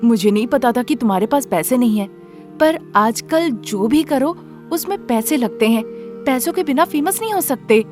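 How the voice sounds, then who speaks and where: A woman's voice: fairly high pitch, animated, moderate pace, music in the background, natural voice. A woman voicing a shrewd young female friend, explaining things in a matter-of-fact, persuasive way. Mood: knowing, persuasive. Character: shrewd young female friend giving money-making advice.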